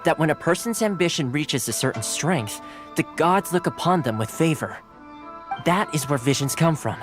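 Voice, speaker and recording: Man playing a calm polite retainer, measured explaining tone, game music underneath. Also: a little high, natural voice. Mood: earnest. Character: polite, composed male retainer guide. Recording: game audio with clear music behind.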